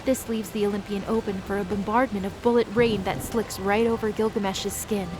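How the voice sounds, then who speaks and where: A woman's voice: mid-range voice, fairly even intonation, brisk, low-quality recording. A woman narrating an audio drama in a scripted style with wry, casual asides. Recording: music underneath.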